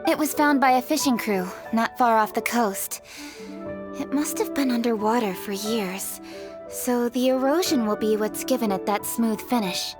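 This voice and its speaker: Poised, high-voiced young female official played by an actress. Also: normal speed, music in the background, natural voice. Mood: matter-of-fact. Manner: articulate, earnest, businesslike. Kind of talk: video game character acting.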